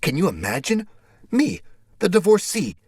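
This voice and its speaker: A man's voice: high voice, wildly swooping pitch, moderate pace, slightly rough, no room sound, clean studio sound, voice pushed up, exaggerated voice. A man voicing a flirty, chatty woman neighbor who complains in a theatrical, nagging, exclamatory way. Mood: exasperated, indignant.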